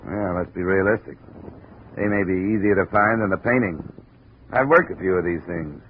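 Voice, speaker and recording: An American actor playing a dry, world-weary insurance investigator in a 1950s radio detective drama. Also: male voice, deep voice, moderate pace. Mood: dry, wry. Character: wry, hard-boiled male insurance investigator narrating his case. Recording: dull, hissy old radio recording.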